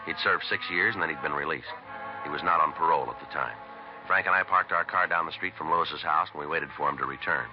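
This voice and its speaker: A terse American detective in a vintage radio crime drama. He has an extremely low voice and talks briskly, in clipped, matter-of-fact facts. The recording is muffled, with music clearly present. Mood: flat, factual. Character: terse, methodical male police detective sergeant and narrator.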